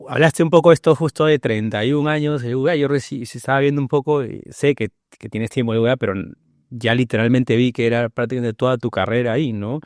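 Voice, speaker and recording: A man's voice: medium pitch, fairly even intonation, brisk, a little mellow, no room sound, studio quality. A man hosting a podcast interview, asking long, winding questions and often adding "¿no?". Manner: rambling questions with many "¿no?" tags. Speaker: podcast host.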